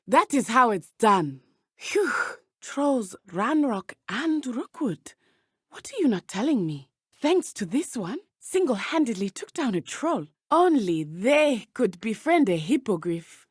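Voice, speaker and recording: An earnest, loyal teenage witch from a fantasy video game. She speaks with a southern African accent at a middling pitch, choosing formal, careful words. The recording is dry and close, with no room sound. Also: dry recording. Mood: excited, alert. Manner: formal, precise phrasing without contractions. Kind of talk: video game character dialogue.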